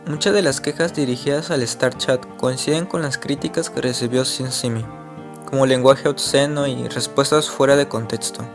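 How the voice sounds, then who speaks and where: A man's voice: mid-range voice, fairly even intonation, moderate pace. A man narrating an internet mystery video in a scripted, explanatory way, asking the viewer rhetorical questions. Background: faint guitar music.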